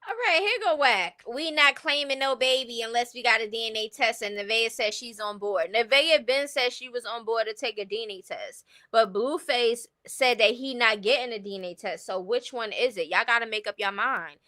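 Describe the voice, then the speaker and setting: A woman's voice: fairly high pitch, normal speed, hardly any pauses, sharp tone. A woman with a New York accent chatting casually on a livestream, giving blunt, opinionated gossip commentary in slangy speech. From New York City, United States. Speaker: livestream host.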